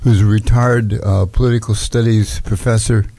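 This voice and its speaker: A male community radio host introduces his guest and asks plain, unhurried questions. His voice is fairly low with a dark, mellow tone. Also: fairly even intonation.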